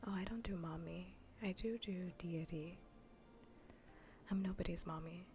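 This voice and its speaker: A woman speaking in a soft, breathy whisper close to the mic, chatting playfully and teasingly as an ASMR livestream host. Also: lowish voice, a little slow. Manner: teasing, playful, mock-scolding. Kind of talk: soft whispered ASMR gaming stream chat. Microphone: close ASMR microphone.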